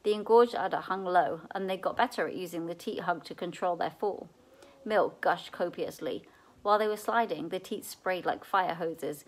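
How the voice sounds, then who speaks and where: A woman's voice: lowish voice, moderate pace, low-quality recording. Woman reading a children's story aloud. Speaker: reader at a library read-aloud. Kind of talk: children's novel read aloud, expressive.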